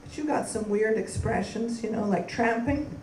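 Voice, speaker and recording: A woman from Canada doing a stand-up comedy set, delivering deadpan punchlines and bantering with the crowd on a club stage. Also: on the high side, moderate pace, slight background noise. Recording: roomy live club sound from a distance with crowd laughter.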